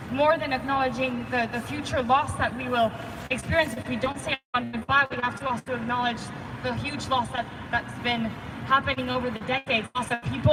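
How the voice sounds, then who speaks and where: A woman's voice: sits high, recorded from a distance. Impassioned young woman at an outdoor rally. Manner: impassioned, emphatic. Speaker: youth climate organizer speaking at a rally. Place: outdoor street gathering. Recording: outdoor PA with long reverb and street noise.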